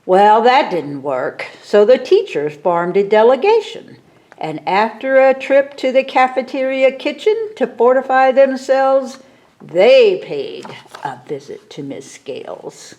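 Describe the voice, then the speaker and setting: A woman reading a picture book aloud at a library story time, expressive, with explanatory asides to children. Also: on the high side, slow pace.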